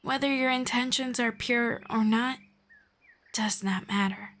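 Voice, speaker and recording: Woman voicing a soft-spoken ageless elf mentor. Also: a little high. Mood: gentle, soothing. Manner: soft-spoken, slow and patient, drifting into whispers. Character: gentle ageless female elf mentor, patient and kind. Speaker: voice actor. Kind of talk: ASMR roleplay.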